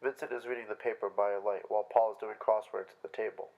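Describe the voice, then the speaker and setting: A man with a low-pitched voice narrates a staged play reading. He reads out the stage directions as scripted narration. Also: level tone, quick pace, creaky, dull audio.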